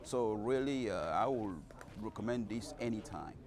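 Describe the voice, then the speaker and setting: A man answering an interview question at a conference, earnest and pausing with fillers. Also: on the low side, animated. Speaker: congress participant. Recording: crowd noise behind.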